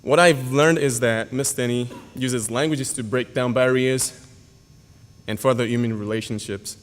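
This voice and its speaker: A young man, a graduating senior whose first language is Yoruba, reading a formal, earnest speech at a podium with halting pauses and fillers. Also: medium pitch, fairly even intonation, a little hiss or hum. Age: young adult. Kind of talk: prepared speech read at a podium. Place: school auditorium. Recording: hall reverb.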